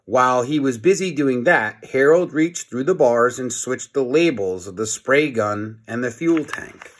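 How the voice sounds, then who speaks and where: A man reading a children's story aloud in an expressive, playful way, with dramatic pauses and asides to the listeners. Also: mid-range voice.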